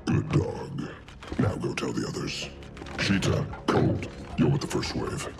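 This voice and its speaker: Gorilla warlord, gravelly growl, unhurried menace, loud score behind. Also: male voice, very deep voice, lively intonation, exaggerated voice. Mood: commanding. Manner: slow, threatening, mocking. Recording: echoey, distant, loud music.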